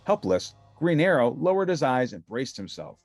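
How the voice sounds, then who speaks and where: A male storybook narrator with a mid-pitched, animated voice. He reads adventure scenes with dramatic emphasis over a music bed. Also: moderate pace.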